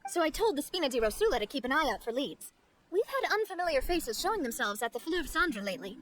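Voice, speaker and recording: Game role: playful, knowing young woman, high pitched with animated swings and easy confidence. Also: fast talker. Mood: brisk, knowing. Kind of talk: video game dialogue acting.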